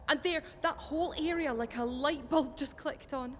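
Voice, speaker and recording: A woman's voice: high voice, moderate pace, long reverb tail, a little hiss or hum, lightly acted. An actress playing a brave, steadfast woman of the resistance in an audio drama, earnest and reassuring. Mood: excited wonder. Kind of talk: fiction podcast audio drama acting.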